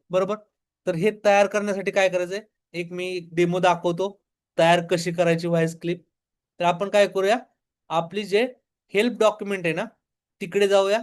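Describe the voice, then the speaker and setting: High-pitched Marathi-accented man teaching step by step. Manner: explanatory, poses questions then answers them. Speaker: online business tutorial instructor. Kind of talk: step-by-step screen demo tutorial.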